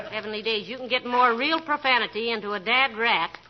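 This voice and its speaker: A woman's voice: on the high side, measured pace. An actress playing a patient, affectionate wife in a vintage radio comedy, scolding her husband with dry wisecracks. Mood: teasing. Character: patient teasing middle-aged wife. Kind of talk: 1940s radio comedy acting. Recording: muffled old radio broadcast with hiss.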